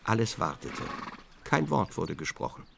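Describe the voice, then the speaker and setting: A man's voice: lowish voice, sing-song, normal speed, creaky, background noise. A man narrating a literary radio drama from a script.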